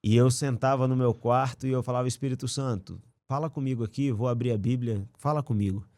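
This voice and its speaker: A man's voice: medium pitch, fairly quick, no room sound. Chatty man. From Rio de Janeiro, raised in Brasília. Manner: relaxed, slangy, chatty and earnest. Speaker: podcast guest. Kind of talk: casual conversational storytelling.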